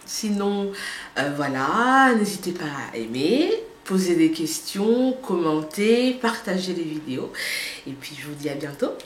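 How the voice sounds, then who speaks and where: A woman with a slight cold gives a casual, chatty vlog update and talks straight to her viewers, with many hesitant pauses. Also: lowish voice, sing-song, echoey room, a little hiss or hum. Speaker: vlogger.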